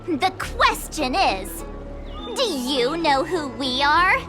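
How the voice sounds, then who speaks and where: Young girl adventurer, extremely high, swooping intonation, sassy, assertive, video game dialogue. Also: slow, music in the background, exaggerated voice. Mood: defiant. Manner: sassy, expressive.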